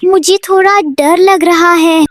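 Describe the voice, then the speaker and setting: A woman's voice: very high-pitched, fairly even intonation, slow pace. A woman voicing a timid, wide-eyed child in a children's cartoon adventure story. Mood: frightened.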